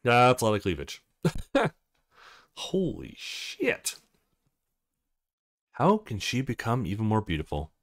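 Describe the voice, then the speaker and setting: A man hosting a let's play, reading game dialogue aloud and reacting to it in an animated way. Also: medium pitch, slow pace, pauses often, no room sound, clean studio sound.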